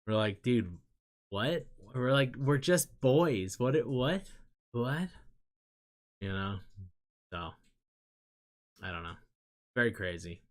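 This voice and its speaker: A young man chatting loosely with his viewers, rambling and trailing off into quiet asides. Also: mid-range voice, animated, slow, pauses often. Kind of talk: casual rambling chat.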